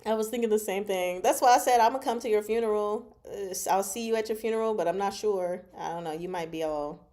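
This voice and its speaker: A woman with a mid-pitched voice chats casually as a livestream book commentator. Her delivery is expressive and emphatic, full of slang and playful teasing, as she talks directly to her viewers. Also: a little fast. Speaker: host of a book discussion livestream. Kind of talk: casual chatty book commentary with live chat.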